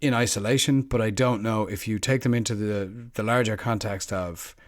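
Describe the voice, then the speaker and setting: A man's voice: on the low side, fairly even intonation, moderate pace. A man co-hosting a podcast, talking casually and thinking aloud with hedges and fillers like "you know" and "I suppose". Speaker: podcast co-host.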